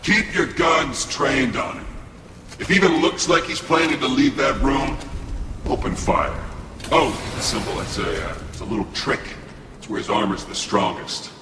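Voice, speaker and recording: An actor playing a cocky, ruthless military commander with a taunting, commanding manner in an audio drama. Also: male voice, medium pitch, lively intonation, normal speed, natural voice. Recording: roomy, distant, noisy.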